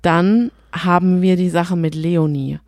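Casting: female podcast co-host, lowish warm voice, chatty and opinionated, close dry sound. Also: fairly even intonation, unhurried, dry recording. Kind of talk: casual chat recapping reality TV.

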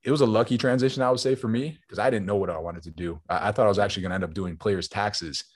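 A man in his late twenties, a former pro football player now in business, telling stories in a relaxed, rambling way and often saying "man". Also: fairly low pitch, very fast. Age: 20s. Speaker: guest, former football player turned entrepreneur. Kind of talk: casual interview storytelling.

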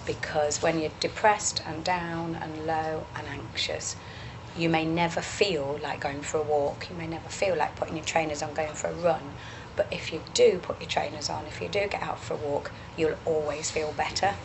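A woman's voice: sits low, steady tone, normal speed. A woman chatting earnestly about running, talkative and encouraging. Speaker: interviewee, parkrun runner. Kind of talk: casual chat. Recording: noisy background.

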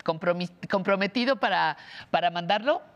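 Low-voiced woman hosting an interview. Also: moderate pace, dull audio. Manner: warm, affirming interjections. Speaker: host.

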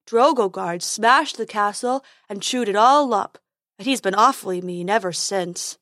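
A woman doing Fred, a slow, loud, simple fellow. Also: mid-range voice, no echo, clean studio sound, close to an everyday voice. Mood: earnest. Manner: slow, loud, earnest, refers to himself by name. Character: simple-minded male creature who talks in third person.

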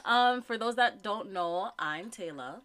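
A woman's voice: mid-range voice, expressive, unhurried, a bit bright, clear, resonant tone. A young woman from Canada co-hosting a podcast, chatting casually and animatedly and often saying "like". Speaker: podcast co-host. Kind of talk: casual chat.

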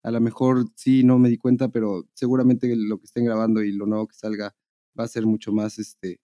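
A man's voice: on the low side, flat delivery, brisk, dark tone, highs cut off. A Mexican man co-hosting a podcast in a casual, slangy chat sprinkled with swearing. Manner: informal, slangy, profane. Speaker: podcast co-host.

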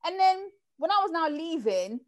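A woman's voice: very high-pitched, moderate pace. A woman chatting casually and telling dating stories, acting out what people said. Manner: lively, acts out quotes. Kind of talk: casual chat, storytelling.

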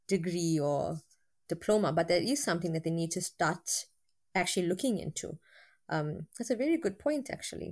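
Woman lecturer, low and candid. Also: a little mellow. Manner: candid, with frequent fillers. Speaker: university lecturer with a PhD. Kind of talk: interview guest.

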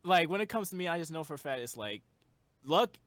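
A man's voice: on the high side, expressive, a little fast, fairly bright tone, no echo. Earnest young man, dry close sound. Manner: rambling, earnest, lots of fillers. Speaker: guest musician. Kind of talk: casual interview chat.

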